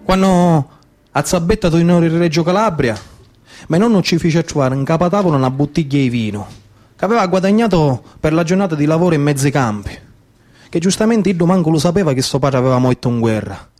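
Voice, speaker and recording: A man's voice: on the high side, expressive, a little fast, darkish tone. A man in his thirties with a Sicilian accent delivers a theatrical narrative monologue in dialect-tinged speech, with a declamatory, repetitive folk-storyteller's cadence. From Sicily, Italy. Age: 30s. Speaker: actor-storyteller. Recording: live music behind the voice. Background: live instrumental music.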